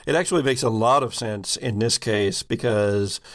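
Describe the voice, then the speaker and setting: A man narrating a tabletop game as game master in a casual, halting way, thinking aloud as he goes. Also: lowish voice, a bit bright.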